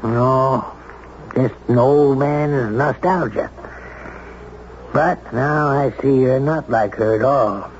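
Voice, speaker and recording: An actor playing a brash, domineering old gentleman who needles and pries in a 1970s radio drama. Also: mid-range voice, takes it slowly, close to an everyday voice. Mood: wry, nostalgic. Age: older. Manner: blunt, needling, insistent. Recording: muffled, noisy 1970s radio recording.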